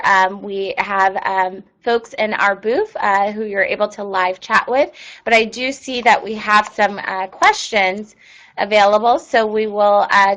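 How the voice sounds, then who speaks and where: A woman hosts an online presentation, pausing often with ums and uhs. Her voice sits at an ordinary middle pitch. She answers viewer questions in a plain, informative way. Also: fairly bright tone, poor audio. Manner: reads viewer questions aloud. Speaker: webinar presenter.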